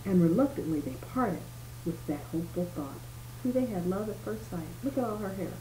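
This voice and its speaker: A woman's voice: medium pitch, animated, brisk, dark tone. A woman reading a bedtime story aloud expressively, with small explanatory asides as if to a child. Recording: roomy home recording with faint noise.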